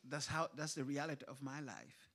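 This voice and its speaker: Panel speaker, man, thoughtful halting delivery, lots of ums, live event stage. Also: medium pitch, lively intonation, creaky, a bit bright. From grew up in Kuwait. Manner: hesitant, many fillers and restarts. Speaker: writer on a literature panel. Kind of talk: panel discussion.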